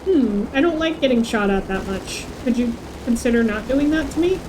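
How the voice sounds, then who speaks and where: A woman's voice: fairly high pitch, lively intonation, moderate pace, background noise. A woman chatting casually as she hosts a livestream of a video game. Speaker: game streamer, host. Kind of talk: casual livestream chat over gameplay.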